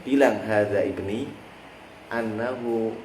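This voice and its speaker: A man's voice: on the low side, slow pace, a little mellow. A man with a Javanese accent teaching a religious text lesson, explaining with everyday examples and drawing his listeners into finishing his sentences. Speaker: teacher of a classical Islamic text. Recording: echoey room with faint background noise.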